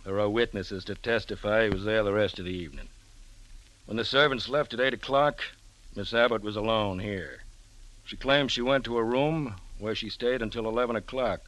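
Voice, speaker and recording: A man's voice: lowish voice, normal speed, a bit bright, natural voice, rough recording. A man playing a skeptical police lieutenant in a 1940s radio drama, giving facts in a clipped, official way. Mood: dry, official. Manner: factual, clipped reporting. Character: matter-of-fact, skeptical male police lieutenant.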